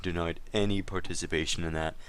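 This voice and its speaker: A man playing a smug, insistent accuser in a dramatic reading of a gaming feud, measured and condescending. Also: low-pitched, steady tone, fairly bright tone, clean tone. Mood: accusing.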